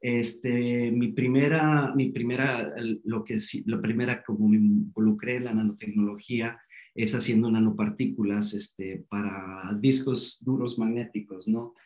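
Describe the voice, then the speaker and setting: A man's voice: lowish voice, fairly even intonation, measured pace, darkish tone. A man giving an informal scientific talk over a video call, peppering his speech with "este" fillers. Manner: casual, filler-heavy, says "este" a lot. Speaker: guest lecturer. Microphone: video call microphone.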